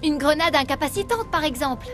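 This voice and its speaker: An actress playing an earnest, guilt-ridden young researcher in a dramatic game dub, speaking anxiously. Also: female voice, high voice, lively intonation, fairly quick, music in the background. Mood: pleading, earnest.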